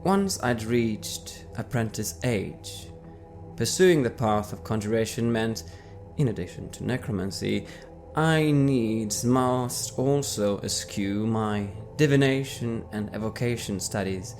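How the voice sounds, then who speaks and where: A man reading his tabletop character's backstory aloud in the first person with a measured storytelling cadence. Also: on the low side, a little mellow. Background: faint background music.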